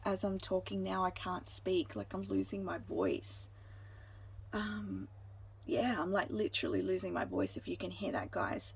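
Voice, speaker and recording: A woman giving a spiritual pick-a-card tarot reading, talking gently and reassuringly to viewers with frequent ums and restarts. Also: medium pitch, slight background noise, low-quality recording.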